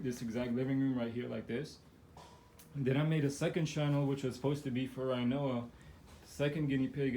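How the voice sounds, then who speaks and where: A man's voice: medium pitch, warm tone, a little hiss or hum. Man losing his voice, rambling vlog. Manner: rambling, many fillers and restarts. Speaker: guinea pig owner showing his setup. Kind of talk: casual walkthrough vlog. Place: living room at home.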